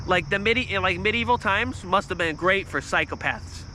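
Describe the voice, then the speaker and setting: A man in his thirties with a high, squeaky, nasal voice and a bright, tense edge. He rambles through a casual livestream story, swearing, restarting and spiking in volume. Crickets and insects chirp faintly outdoors behind him. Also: normal speed, a little hiss or hum. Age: 30s. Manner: swears freely, restarts often, volume spikes. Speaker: vlogger on a livestream.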